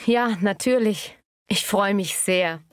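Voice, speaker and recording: A woman acting a courteous, eager bookkeeper applying for a job in a language-learning dialogue. She enunciates clearly at a medium pitch. The recording is dry and close. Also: slow pace, dry recording, lightly acted. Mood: polite, pleased.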